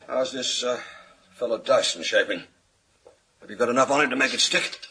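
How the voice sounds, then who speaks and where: An actor playing a pompous, image-obsessed senior British police chief in a radio thriller, formal and given to pauses. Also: male voice, mid-range voice, gravelly, sharp tone, husky, full character voice, low-quality recording. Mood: weary, worried. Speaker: actor in a radio crime drama.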